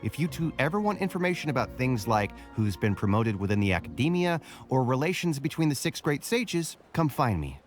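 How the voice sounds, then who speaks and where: An actor playing an affable, sly academic researcher in a video game, talking in a wordy, mock-humble way. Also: male voice, medium pitch, sing-song, a little fast, few pauses, natural voice. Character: glib, self-deprecating male academic researcher, affable and sly. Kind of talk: video game character acting. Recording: background music.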